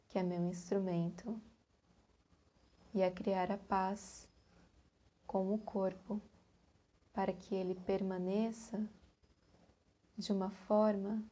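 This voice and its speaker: A Brazilian woman guiding a meditation in a soft, breathy, calm voice, leaving long pauses between short phrases. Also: medium pitch, lo-fi sound. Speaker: meditation guide. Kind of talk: guided meditation.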